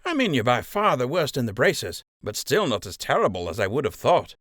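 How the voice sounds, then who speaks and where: A man's voice: mid-range voice, big pitch swings, brisk, fairly bright tone, dry recording, studio quality, pitched above the performer's own voice. A man voicing a young male rabbit soldier in an audiobook, friendly and candid, with a casual, mischievous way of talking. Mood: teasing, friendly.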